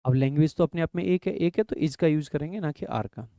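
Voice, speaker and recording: A young adult man teaching an English grammar lesson in Hindi for exam preparation. He explains step by step, poses students' questions and answers them himself, and reads rules out slowly. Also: fairly high pitch, creaky, mellow, no room sound, studio quality.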